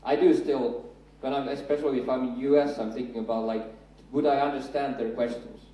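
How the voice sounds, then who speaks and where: A Finnish-accented man in his thirties speaks from a stage in a large hall, joking and building up to his punchlines. His voice is mellow and dark. The sound is heavily echoey and comes from some distance from the mic. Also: medium pitch, steady tone, brisk. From Finland. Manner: chatty, self-deprecating, builds up to punchlines. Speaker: conference speaker. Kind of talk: conference talk with jokes. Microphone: handheld mic.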